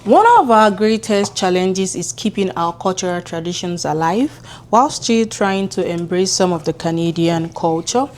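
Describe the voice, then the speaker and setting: A woman's voice: fairly low pitch, normal speed, darkish tone, slight background noise. A woman, talking through a casual vlog in her home kitchen, chatty and encouraging. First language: Yoruba. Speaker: vlogger. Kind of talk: casual vlog talk to camera.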